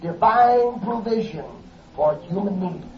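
A man preaching a fervent Pentecostal sermon, building to shouts and calling out hallelujahs. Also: high-pitched, animated, measured pace, a little hiss or hum. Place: church service. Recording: echoey dull lo-fi recording from a distance.

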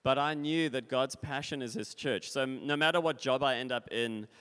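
A man's voice: medium pitch, normal speed, fairly bright tone, clean tone. Man, forties, casual church testimony, loose and rambling, long hall reverb. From South Africa. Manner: casual, rambling, with fillers and laughs. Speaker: visiting speaker. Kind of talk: testimony sharing at a church service.

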